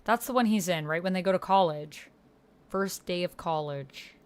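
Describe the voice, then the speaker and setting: Woman with a lowish voice, casual stream chat about her rpg, kinda thinking out loud. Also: moderate pace, smooth. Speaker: livestream host and tabletop player. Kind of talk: casual livestream chat, reading out typed roleplay.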